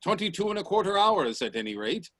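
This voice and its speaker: Higher-voiced, confident eccentric professor. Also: male voice, very animated, a little fast, a bit bright, exaggerated voice. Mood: brisk, commanding. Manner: assured, emphatic, formal. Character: confident, eccentric male professor and inventor, grandly insistent. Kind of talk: radio play acting.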